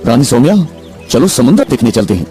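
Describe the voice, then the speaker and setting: A man voicing an ailing, anxious king in a dramatic, pleading manner for an animated bedtime story. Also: mid-range voice, fairly quick, soft background music, close to an everyday voice. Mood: plain. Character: ailing, anxious king of a fairy-tale kingdom.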